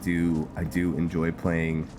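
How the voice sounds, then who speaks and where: Very deep, slow-talking man over music. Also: completely flat delivery. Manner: halting, thinking aloud with pauses mid-sentence. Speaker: gameplay host narrating a roleplay series. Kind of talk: casual, rambling gameplay commentary. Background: music behind the voice.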